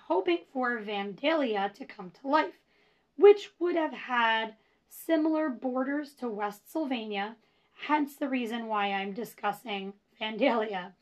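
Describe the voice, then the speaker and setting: A woman hosting a casual history podcast, chatty and self-deprecating with rambling asides, her voice going hoarse. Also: on the high side. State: losing her voice. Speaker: podcast host. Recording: dull lo-fi recording.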